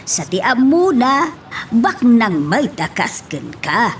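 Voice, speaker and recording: A woman's voice: a little high, sing-song, slight background noise. Pleading older mother-in-law played by a woman. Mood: reproachful. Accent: Ilocano. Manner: emotional, drawn-out pleading. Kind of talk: Ilocano radio-style drama acting.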